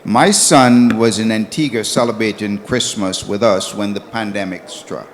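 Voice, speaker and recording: Male panelist, mid-pitched, steady delivery, faint noise behind. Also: moderate pace. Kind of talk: panel discussion. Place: conference hall.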